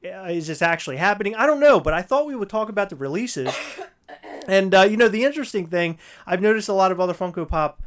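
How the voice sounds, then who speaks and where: A podcast host, slightly hoarse, chatting on a livestream and ranting loosely with plenty of fillers and restarts. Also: mid-range voice, animated, quick pace. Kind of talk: casual livestream chat.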